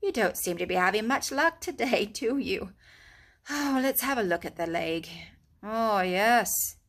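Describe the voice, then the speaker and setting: A woman voicing a kindly, excitable male rat who talks briskly and eagerly. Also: medium pitch.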